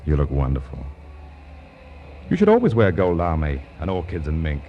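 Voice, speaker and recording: An actor playing a peevish, self-important department store heir in a 1940s radio comedy, pompous and easily indignant. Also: male voice, rumbling low, wildly swooping pitch, normal speed, lo-fi sound, heavily acted. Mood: playful, charming. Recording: hissy vintage 1940s radio broadcast.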